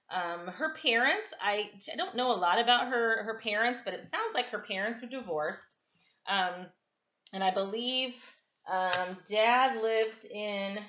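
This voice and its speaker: Female storytime reader, bright and forceful, casual storybook delivery, recorded at home in a roomy, echoing space. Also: mid-range voice. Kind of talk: casual storybook read-aloud for children. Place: indoors at home, kitchen and another room. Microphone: camera microphone. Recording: echoey room, slightly dull camera audio.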